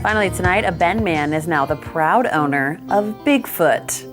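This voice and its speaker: A woman's voice: fairly low pitch, moderate pace, over loud music. An American woman presenting a light local news story in a broadcast style.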